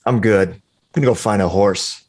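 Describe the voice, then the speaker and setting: A man's voice: lowish voice, normal speed, a little mellow, lightly acted. An actor playing an exasperated young-sounding male video game player in a comedy table read. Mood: dismissive.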